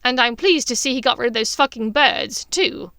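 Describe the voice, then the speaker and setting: A woman's voice: a little high, normal speed, bright, forceful tone, clean tone, no room sound, clean studio sound. Casting: woman performing brash, foul-mouthed witch villain for audio fiction; suspicious, scornful, falsely breezy, raised voice. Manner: pointed, drawling, falsely casual.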